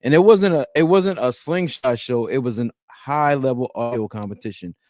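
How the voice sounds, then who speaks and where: A man's voice: medium pitch, normal speed, a little mellow, no echo. A man hosting a livestream podcast in casual chat, emphatic and repeating words as he gets going. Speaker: podcast host.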